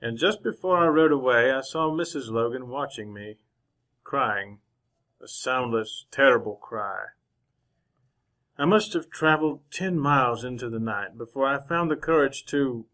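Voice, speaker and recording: A man playing a composed, honorable gentleman newspaperman in a re-created old-time radio western, speaking formally and with measured politeness. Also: mid-range voice, animated. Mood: somber narration. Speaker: actor narrating as lead character.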